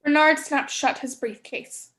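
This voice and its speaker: A woman's voice: sits high, a little slow, a bit bright. A young woman reading stage directions as scripted narration in a play reading. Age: young adult.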